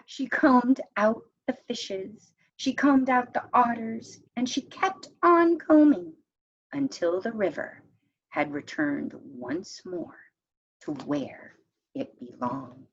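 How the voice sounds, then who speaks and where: Slow, husky woman reading a storybook. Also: on the high side, big pitch swings, muffled recording. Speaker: teacher reading a story to pupils. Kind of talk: expressive storybook read-aloud.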